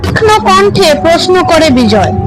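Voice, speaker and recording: A woman's voice: very high voice, big pitch swings, fairly quick, loud music behind the voice. A woman narrator reading a scripted horror story for a cartoon.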